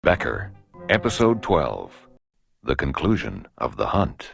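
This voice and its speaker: A male announcer for a radio crime drama, extremely deep and full of gravel. His scripted delivery swings dramatically over prominent music. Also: big pitch swings, measured pace.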